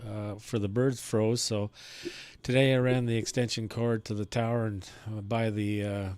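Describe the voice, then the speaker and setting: A man chatting casually as an amateur radio operator, in a folksy, unhurried way. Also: fairly low pitch, flat delivery, darkish tone, clean tone, dry recording.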